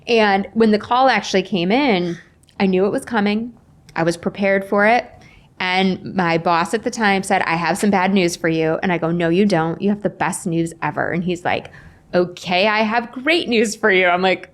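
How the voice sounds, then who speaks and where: A woman's voice: medium pitch, moderate pace. A woman in her forties chatting casually as an interview guest, telling stories with acted-out dialogue and self-deprecating humor. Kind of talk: casual conversational storytelling.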